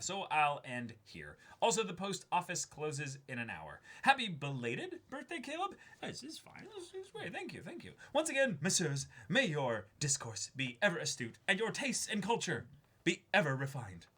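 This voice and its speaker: Young guy chatting on a show, super animated pitch, kinda halting and thoughtful, bright voice. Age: young adult. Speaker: co-host of an unboxing show. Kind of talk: casual chat and reading letters aloud. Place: small indoor room.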